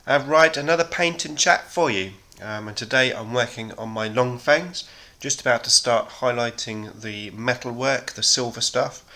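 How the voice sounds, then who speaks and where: A man in his thirties hosting a miniature painting chat, talking casually with many ums and a slightly hoarse voice from a sore throat. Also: mid-range voice, normal speed, hardly any pauses. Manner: relaxed, rambling, many ums. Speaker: hobby painting channel host.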